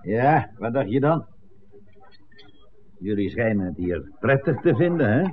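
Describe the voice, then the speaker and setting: A man's voice: medium pitch, big pitch swings, unhurried, narrow, tinny audio. A man playing a blunt, matter-of-fact village pub regular in an old radio drama. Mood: jovial. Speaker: radio play actor. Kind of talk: 1960s radio drama acting. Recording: thin, noisy old radio recording.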